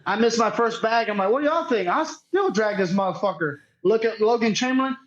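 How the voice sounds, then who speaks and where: A man's voice: high voice, animated, brisk, lo-fi sound. A man chatting casually as a podcast guest, telling stories in a slangy, sweary, unpolished way. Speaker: podcast guest, cornhole player. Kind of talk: casual chat, storytelling.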